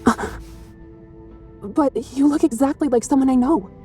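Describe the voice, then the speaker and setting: A woman plays a young game heroine with a fairly high, very mellow voice. She is earnest and searching, speaking softly and with some hesitation in a dramatic style. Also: moderate pace, faint music behind, natural voice. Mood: shocked, searching. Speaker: voice actor in a game cutscene.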